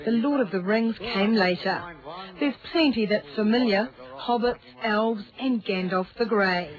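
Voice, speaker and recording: A woman's voice: mid-range voice, measured pace. A woman reporter narrating a scripted television news report. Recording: dull lo-fi broadcast copy.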